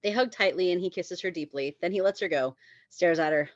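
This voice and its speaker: A woman's voice: lowish voice, fairly quick, dry recording, muffled recording. A woman reading screenplay stage directions as the narrator of a table read.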